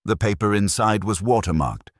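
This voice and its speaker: A man reading a scripted mystery story in a dry, arch, measured manner. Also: on the low side, animated, dry recording. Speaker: narrator.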